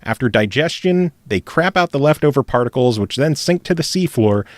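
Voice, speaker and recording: A man's voice: mid-range voice, animated, normal speed, no room sound. A man hosting a casual gaming podcast, reading listener emails aloud and laughing often. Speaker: podcast host.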